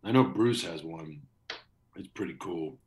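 A man in his thirties with a very low voice, talking loosely and at length as a guest. He rambles and restarts with plenty of fillers. The sound comes over a video call. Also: a little slow. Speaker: voice actor. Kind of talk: casual interview chat.

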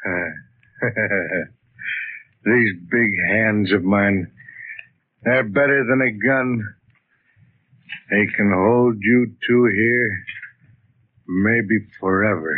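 An actor in a 1940s radio thriller playing a menacing gangster back to haunt his killers, speaking in an ominous, deliberate way. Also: fairly low pitch. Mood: gloating menace. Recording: muffled tinny vintage radio recording.

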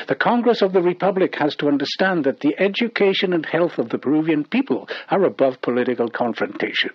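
A man's voice: a little high, husky. A man reading a scripted news bulletin as a radio newsreader, over dull shortwave radio.

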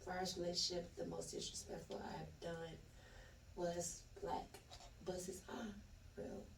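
A woman's voice: fairly low pitch, level tone, a little slow, gravelly, whispered. A woman from Florida, a comedian chatting loosely as an interview guest and telling stories in slang. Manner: loose, laughing storyteller, Southern slang. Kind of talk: casual interview chat. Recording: roomy, distant from the mic.

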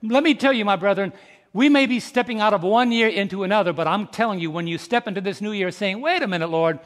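Pastor guy in his 50s preaching, high-pitched, asks the crowd questions, goes soft then loud, big echoey church hall. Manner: preaching with call-and-response questions to the congregation; swings from soft, slow reflection to loud, rising exhortation. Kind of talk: sermon. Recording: long reverb in a large hall.

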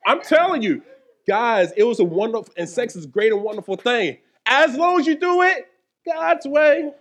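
A man's voice: extremely high pitch, lively intonation, normal speed, a bit bright. A male pastor preaching in a church service, playful and joking, calling out to the congregation. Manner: playful, jokey, calls to the congregation. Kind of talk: sermon.

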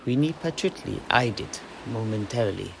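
A man playing a mercenary knight who retells a seafaring family tale in a measured, dramatic way with pauses. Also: lowish voice, expressive, gravelly, a little hiss or hum, poor audio. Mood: storytelling. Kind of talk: in-character storytelling for a fantasy audio play.

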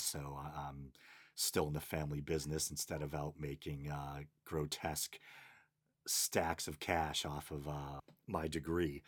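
Boston-accented male podcast host, very low voice, dry sarcastic humor. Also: a bit bright. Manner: wry, dryly sarcastic, chuckling asides. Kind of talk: casual solo podcast chat, reading listener comments.

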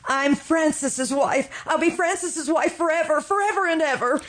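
An actress playing a grief-stricken, obsessive young widow in an old-time radio drama, pleading in a fervent, melodramatic way. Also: female voice, high voice, moderate pace, fairly bright tone. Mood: fervent, insistent.

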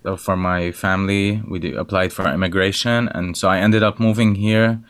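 A man's voice: low-pitched, steady tone, moderate pace, fairly bright tone, no room sound. A young man from Lebanon, a band guest in an interview, who chats casually and says yeah a lot. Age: young adult.

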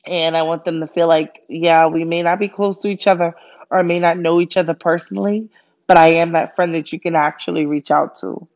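A woman's voice: sits low, darkish tone, dull audio. A young woman from Jamaica, raised in Brooklyn, with a Jamaican accent, hosting her first solo podcast in a chatty, friendly way with many fillers. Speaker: podcast host. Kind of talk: casual solo podcast monologue.

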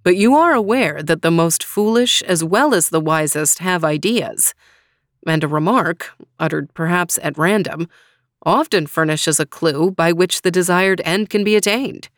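Woman voicing a calm learned wise man. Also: on the low side, no room sound, clean studio sound, natural voice. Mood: persuasive. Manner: measured, counseling.

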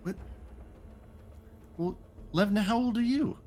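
A man's voice: sits high, slow, warm tone. Teasing man at a tabletop game. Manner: incredulous, teasing questions. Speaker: player. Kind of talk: casual tabletop game chat.

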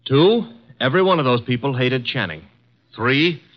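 A cheeky, wisecracking assistant played by a man, with a lively, bouncing delivery in an old radio drama. He tosses off sarcastic comebacks easily. The broadcast sound is dull and lo-fi. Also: medium pitch, close to an everyday voice. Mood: wry. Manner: breezy, sarcastic, quick with a comeback. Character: wisecracking young male assistant, brash and cheeky. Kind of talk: 1950s radio drama acting.

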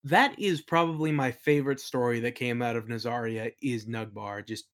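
A man's voice: medium pitch, moderate pace. A man in a casual interview, talking informally as a game master and telling anecdotes. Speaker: podcast guest, game master and worldbuilder.

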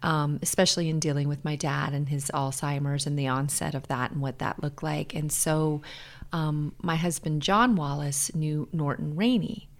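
A middle-aged American woman speaking earnestly and in a measured way as a guest in a reflective personal interview. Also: low voice, fairly even intonation. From Hawaii, USA.

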